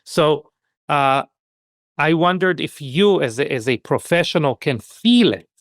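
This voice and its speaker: Halting, thoughtful male podcast guest. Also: on the high side, animated, no room sound, studio quality. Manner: thoughtful, halting, many restarts while searching for words. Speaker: podcast guest, skincare business expert. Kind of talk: conversational interview.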